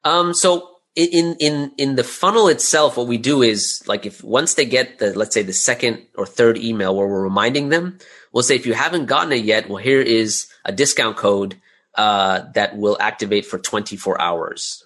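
A man's voice: mid-range voice, moderate pace, a bit bright. A man speaking as an interview guest, explaining his business informally and often repeating words as he gathers his thoughts. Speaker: interview guest, audio drama creator and business owner. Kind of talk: casual explanatory interview talk.

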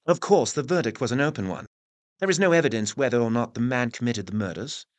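A man playing a grave newspaper reporter in an audio drama, narrating in a formal, measured, solemn manner. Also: medium pitch, close to an everyday voice. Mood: formal, intrigued.

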